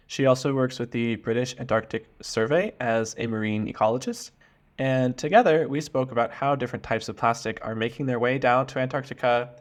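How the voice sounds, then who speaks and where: Guy hosting a podcast, clear and earnest, friendly questions, normal pitch. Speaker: podcast host. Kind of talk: scripted intro and interview questions.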